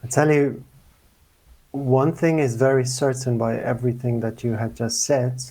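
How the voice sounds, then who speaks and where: A man's voice: mid-range voice, level tone, moderate pace, dark tone, rough recording. A man interviewing a guest, responding with affirming, encouraging remarks. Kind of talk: conversational interview.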